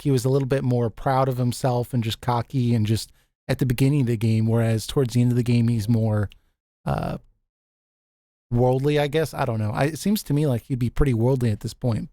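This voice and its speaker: A man with a deep voice hosting a casual group chat, easygoing and quick to laugh, often restarting his sentences. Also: dark tone, no echo, clean studio sound. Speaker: podcast host.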